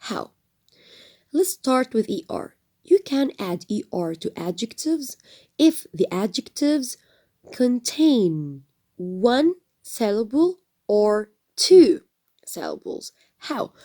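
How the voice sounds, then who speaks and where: A woman teaching an English grammar lesson, explaining patiently with frequent fillers and trailing into a soft whisper at phrase ends. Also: fairly high pitch, very animated, slow. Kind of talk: recorded textbook lesson.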